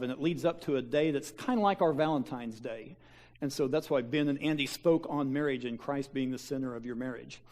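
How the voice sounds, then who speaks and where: A man's voice: fairly high pitch, a little mellow. A man, a pastor giving a folksy mission-trip slideshow talk to his church, with lots of fillers and restarts. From Kansas. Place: church sanctuary.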